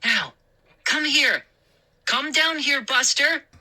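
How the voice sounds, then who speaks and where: A woman's voice: fairly high pitch, measured pace, pushed and bright, pitched above the performer's own voice, exaggerated voice. A woman voicing an anxious young boy in a children's story. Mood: urgent. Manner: urgent, pleading.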